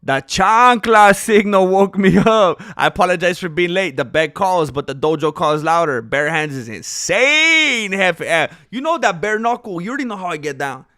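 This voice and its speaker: Man streaming, high voice, smooth and clear, dry close sound, casual jokey chat, voice getting worn. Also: lively intonation, moderate pace, few pauses, bright, forceful tone, no echo. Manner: jokey, breaks into off-key singing and ad-jingle bits. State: losing his voice.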